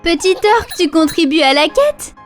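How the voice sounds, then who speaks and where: A woman voicing a cheerful young lion cub who leads his friends, speaking in a bright, rhyming sing-song. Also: extremely high pitch, sing-song, moderate pace. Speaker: title character and narrator. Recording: cartoon dub with music behind.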